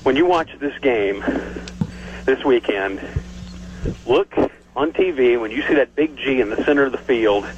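A man's voice: mid-range voice, animated, unhurried, slight background noise. A young man calling in live to a radio show, nervously describing where he's sneaking around. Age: young adult. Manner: nervous, chatty, reporting what he sees. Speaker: radio station intern on a stunt. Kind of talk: live radio call-in from a stadium. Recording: dull lo-fi phone call on radio.

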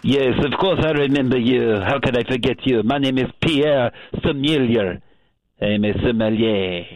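A man's voice: medium pitch, steady tone, brisk, slight background noise, lightly acted, poor audio. A man voicing an indignant, insulting French sommelier as a radio caller, pompous and aggrieved, in broken formal English with a French accent. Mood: haughty. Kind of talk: comic prank call to a radio show.

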